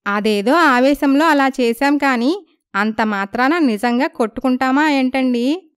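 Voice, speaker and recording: A woman voicing a caring young village wife who questions her husband with concern in an animated story. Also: sits high, unhurried, smooth, pitched above the performer's own voice. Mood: defensive.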